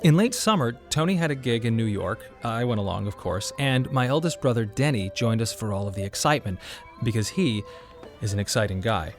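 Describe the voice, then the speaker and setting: A man's voice: medium pitch, lively intonation, soft background music, lightly acted. An actor playing a grieving, restless young father, earnest, awkward and reflective in a radio drama. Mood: narrating. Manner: halting, reflective. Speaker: narrator and protagonist. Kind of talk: radio drama narration and dialogue. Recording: reverberant hall, faint background noise.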